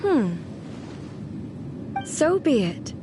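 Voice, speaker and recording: Video game role: serene, imperious immortal ruler, highish female voice, formal and solemn, clear noise behind. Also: very animated, exaggerated voice. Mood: cool, challenging. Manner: formal, measured, haughty, solemn. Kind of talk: scripted video game dialogue.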